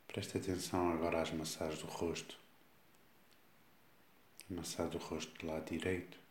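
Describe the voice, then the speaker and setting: A man with a European Portuguese accent guides a body scan meditation, giving slow, calm instructions with long pauses. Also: sits low, slight background noise. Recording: echoey, reverberant room sound.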